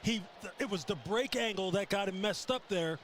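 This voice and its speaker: Emphatic high-voiced man analyzing football. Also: moderate pace, fairly bright tone. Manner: emphatic, colloquial storytelling. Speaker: TV color analyst. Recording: noisy game broadcast feed.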